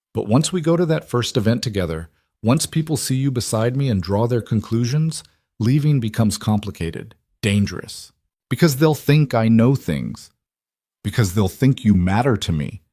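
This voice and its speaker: A man voicing a powerful, protective mafia boss in audiobook dialogue, measured and grave. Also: medium pitch, a little mellow, no room sound, clean studio sound. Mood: measured warning.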